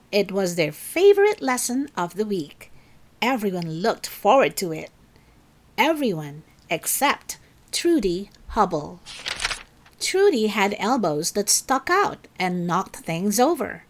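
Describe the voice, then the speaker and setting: A woman hosting and narrating a children's story in an expressive, deliberate read-aloud style. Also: medium pitch. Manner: slow, deliberate, animated delivery for children. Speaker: story reader and channel host.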